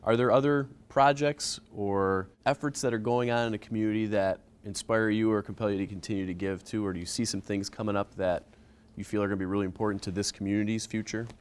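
A man interviewing a donor, asking long, measured questions. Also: fairly low pitch, fairly even intonation, clear, resonant tone.

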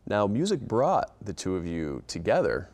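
A man hosting a community podcast, reading a scripted intro and then interviewing guests in a friendly, measured way. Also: lowish voice, huge pitch swings, a little mellow. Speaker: podcast host.

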